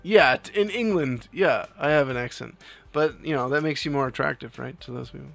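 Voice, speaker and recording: Young male streamer, mid-pitched, loose and rambling chat with frequent laughs, faint music bed. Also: brisk, creaky, a bit bright. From New Jersey. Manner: rambling, laughs often, reads chat aloud. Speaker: livestream host. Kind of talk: casual chat with live chat.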